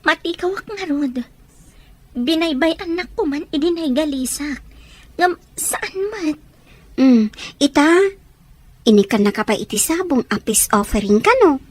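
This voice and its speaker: An actress with an Ilocano Filipino accent playing a young woman in an audio drama, guarded but gradually softening. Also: sits high, expressive, unhurried, long pauses. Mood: guarded, reflective. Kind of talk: Ilocano radio-style drama acting.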